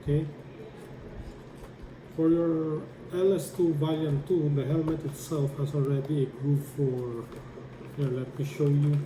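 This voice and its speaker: A man with a slightly high voice talks slowly through a practical tutorial. He explains step by step and haltingly, as if busy with his hands, in an echoey room. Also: level tone. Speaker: tutorial presenter. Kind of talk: hands-on how-to demonstration. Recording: echoey room with clear background noise.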